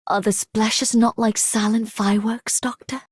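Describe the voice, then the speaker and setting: Wistful poet game character, breathy husky voice, whimsical, dry close sound. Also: female voice, a little high, level tone, unhurried, dry recording. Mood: contemplative, wistful. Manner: literary, contemplative. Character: melancholy, whimsical poetic woman. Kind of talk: video game character voice acting.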